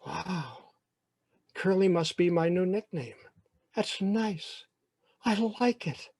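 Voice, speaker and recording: A man performs a lonely, anxious boy of twelve in a live-storytelling style. The voice sits fairly high and the recording is dry. The words come in halting, repeated little phrases, as if pleading with himself. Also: expressive, vocal fry, darkish tone, breathy edge, no room sound, exaggerated voice. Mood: naively pleased. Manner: halting, repetitive inner monologue. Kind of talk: first-person present-tense storytelling.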